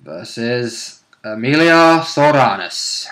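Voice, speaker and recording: A man's voice: medium pitch, animated, slow, fairly bright tone, rough recording. A man chatting casually as a player and co-commentator, hedging his guesses in informal, sometimes sweary speech. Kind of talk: casual chat, speculative commentary.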